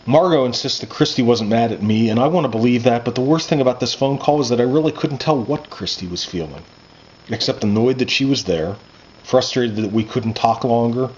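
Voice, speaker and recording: A man's voice: mid-range voice, fairly quick, a little mellow. Muffled recording, man reading a novel aloud. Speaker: author reading own novel. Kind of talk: audiobook-style first-person fiction reading. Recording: muffled lo-fi with background noise.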